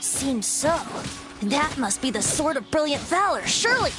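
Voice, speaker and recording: Youthful bard from a game, high n bouncy, playful, bit of music behind. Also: female voice, big pitch swings, normal speed. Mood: intrigued. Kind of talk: video game character acting.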